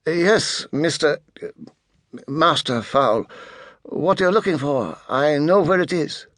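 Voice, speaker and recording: A man's voice: on the high side, expressive, takes it slowly, fairly bright tone, dry recording, studio quality, pitched above the performer's own voice. A man voicing a nervous, spooked male informant who speaks hesitantly and deferentially, in an audiobook.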